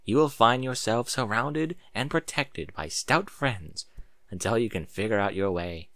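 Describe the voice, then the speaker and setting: A man voicing a kindly, wise pony princess in a storybook, gentle, measured and reassuring. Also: on the low side, lively intonation, dry recording, clean studio sound. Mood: gentle, encouraging.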